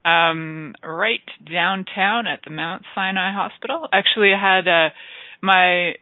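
A woman's voice: fairly low pitch, a little slow, no room sound. A woman from Canada, a guest in a casual interview, chatty and laughing often as she tells stories. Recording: tinny phone line.

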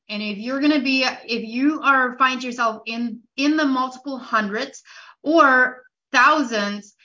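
A young woman talks through her own podcast in a chatty, personal way, using plenty of "like" and "you know." Her voice sits a bit high and comes across bright and forceful. The audio is somewhat dull and lo-fi. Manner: chatty, personal, frequent "like" fillers. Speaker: podcast host. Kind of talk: casual solo podcast talk.

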